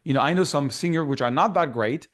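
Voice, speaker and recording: A man's voice: fairly high pitch, fast talker. A middle-aged man with a French accent, a guest in a casual interview, talking in an enthusiastic, rambling way full of fillers and restarts. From France. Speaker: guest photographer.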